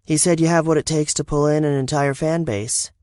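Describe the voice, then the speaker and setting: A man's voice: a little high, steady tone, brisk, darkish tone, no room sound, voice pushed up, lightly acted. Man doing young woman racer, teasing, confident, raised voice, dry close sound. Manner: playful, needling. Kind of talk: audiobook narration.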